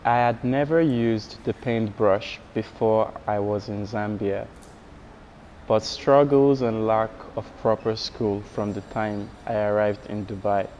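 Slow-speaking man, low-pitched, dull noisy recording. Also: steady tone, darkish tone. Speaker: interpreter voicing a deaf artist's signed answers. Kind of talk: measured read-out interview answers.

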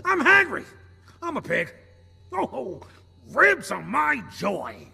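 Shrill, gravelly, gluttonous pig mascot. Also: male voice, wildly swooping pitch, slow, pushed and bright, soft background music, heavily acted. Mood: greedy, hungry. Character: gluttonous, dim, murderous pig mascot, always hungry. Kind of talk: horror game monster acting. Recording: long hall reverb, some noise.

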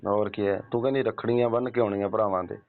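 A man's voice: lowish voice, fairly even intonation, very fast, close to an everyday voice. A man playing a wheedling, insistent elderly father-in-law in a staged phone call, pressing and nagging with grunted question tags. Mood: wheedling, complaining. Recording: tinny narrow-band phone call.

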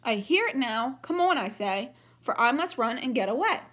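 A woman's voice: a little high, expressive, normal speed, a bit bright. A woman voicing a frightened man in a rhyming picture book, speaking in an alarmed way. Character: frightened man fleeing a monster.

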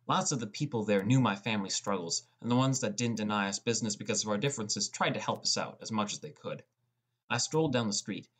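A man's voice: fairly low pitch, a bit bright. A man reading scripted first-person story narration as an audiobook narrator.

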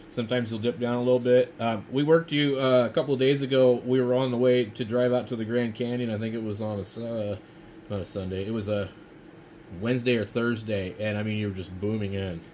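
An American man makes relaxed small talk as a ham radio operator. His voice sits at a middling pitch, recorded indoors at his radio desk with a faint hiss behind it. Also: fairly quick. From United States. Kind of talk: casual ham radio chat.

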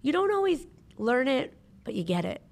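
A woman's voice: high voice, big pitch swings, normal speed. A woman chatting candidly as a podcast guest, emphatic and repeating phrases for effect, close to a studio microphone. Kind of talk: casual candid panel chat. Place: podcast studio with brick walls.

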